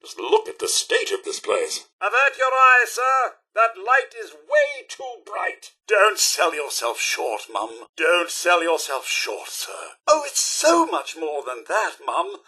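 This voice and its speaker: A high-pitched, fussy British robot butler. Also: male voice, wildly swooping pitch, takes it slowly, few pauses, pushed and bright, husky, exaggerated voice. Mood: uneasy, disdainful, then gracious, flattered. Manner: prim, courteous, flowery and theatrical. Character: fussy, devoted, genteel male robot butler. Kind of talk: scripted video game companion dialogue.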